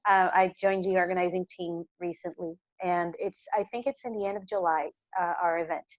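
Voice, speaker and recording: A woman's voice: fairly low pitch, steady tone, a little fast, no echo. A woman from Brazil introducing herself plainly on a video call. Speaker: meetup participant, WordCamp volunteer. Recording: dull, dry call audio.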